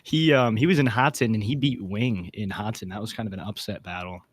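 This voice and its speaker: A man hosting a casual group podcast, chatting in an excitable, slangy, jokey way. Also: fairly low pitch, a little fast, husky, no room sound. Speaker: podcast host.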